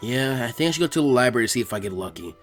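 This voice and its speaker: A man's voice: medium pitch, animated, racing, soft background music, pitched below the performer's own voice, lightly acted. A man voicing a hyperactive, easily exasperated young boy ninja in an anime fan story, whining and exclaiming. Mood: restless, resigned.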